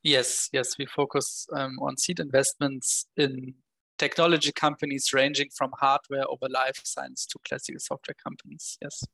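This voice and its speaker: A man talks as a guest in a conversational interview. His voice is mid-pitched and bright, with noticeable vocal fry. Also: level tone, normal speed, rough recording. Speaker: podcast guest, investment manager.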